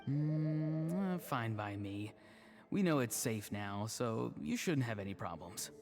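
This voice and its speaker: A man's voice: mid-range voice, lively intonation, takes it slowly, creaky, a little mellow. A man voicing an easygoing young grandson in a video game, casual, teasing and self-deprecating. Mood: eager. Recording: music behind the voice. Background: game music.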